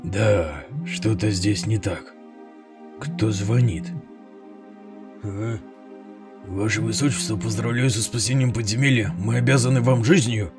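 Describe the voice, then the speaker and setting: A man's voice: on the low side, measured pace, pauses often, close to an everyday voice. A man playing a wary male monster guard with a plain, uneasy manner in a comic dub. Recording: loud music under the voice.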